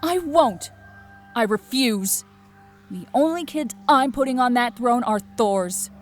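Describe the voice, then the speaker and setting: Woman playing a proud hurt goddess, slow earnest talk, mid pitch, music under it, audio drama. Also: expressive. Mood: indignant.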